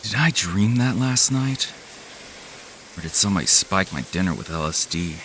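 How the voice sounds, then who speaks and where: A man's voice: low voice, animated, normal speed, a bit bright, a little hiss or hum. A man acting in a thriller audio drama as a wary, sardonic young man who narrates his own story. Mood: weary, wry.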